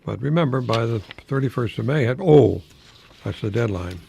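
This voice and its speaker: A man's voice: mid-range voice, expressive, normal speed, gravelly, mellow, a little hiss or hum. An older American man giving an informal radio monologue in a rambling, hesitant manner with chuckling asides. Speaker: radio host, college professor.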